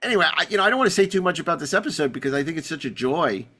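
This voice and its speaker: A man hosting a podcast in casual chat, halting and often repeating his phrases. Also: on the high side, sing-song, sharp tone. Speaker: podcast host.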